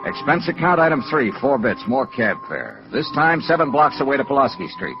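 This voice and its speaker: An actor playing a wry, hardboiled, middle-aged insurance investigator in a clipped, matter-of-fact 1950s radio noir style. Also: male voice, mid-range voice, big pitch swings, a little fast. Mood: brisk, matter-of-fact. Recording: muffled vintage radio recording with music.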